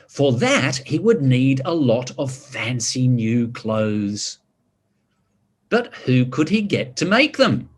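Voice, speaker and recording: A man reading a picture book to children, expressive, drawing out words and adding chatty asides and questions. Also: medium pitch, slow. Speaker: story reader.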